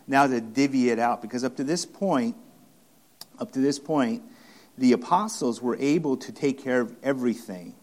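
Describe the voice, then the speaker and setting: A male pastor teaching through a passage in a sermon. His voice sits at an ordinary middle pitch, and he talks haltingly, restarting phrases and repeating key lines for emphasis. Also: a little mellow.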